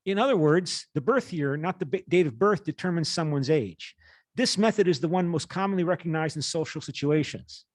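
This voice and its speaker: A man in his late fifties lecturing as a university teacher, reading aloud and explaining in a halting way with rephrasings. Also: a little high, lively intonation, no echo.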